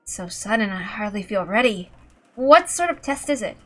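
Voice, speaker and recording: A woman voicing a polite, earnest young man in a visual novel, measured and deferential in manner. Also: medium pitch, lively intonation, a bit bright. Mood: polite, hesitant.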